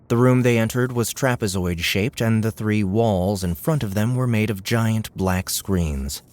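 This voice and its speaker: A man's voice: lowish voice, a little mellow. A man reading scripted fiction as an audiobook narrator.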